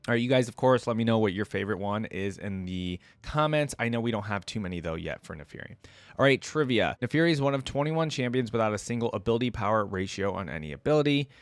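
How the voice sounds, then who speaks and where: A man's voice: fairly low pitch, dry recording. A man hosting a gaming reaction video, commenting informally and sometimes reading aloud. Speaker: host of a gaming lore reaction channel.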